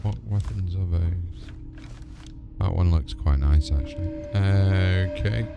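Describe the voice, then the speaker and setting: A British man with a deep voice commentates casually on a video game as he plays. He talks informally, as if thinking aloud to viewers. Loud music plays behind his voice throughout. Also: slow pace, gravelly, dull audio. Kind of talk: casual live gameplay commentary.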